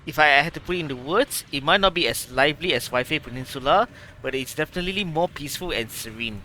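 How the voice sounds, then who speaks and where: Gentle male bamboo-being storyteller, calm and measured, game cutscene with music behind. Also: fairly high pitch, slight background noise. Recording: game audio with music under it.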